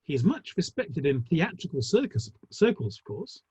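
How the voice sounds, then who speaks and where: Man acting husky, animated Victorian theatre gentleman. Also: fairly high pitch, moderate pace, full character voice. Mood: wry gossip. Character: gossipy, wry Victorian theatre gentleman at his club. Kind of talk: dramatic play reading over the internet. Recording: muffled, roomy online call audio.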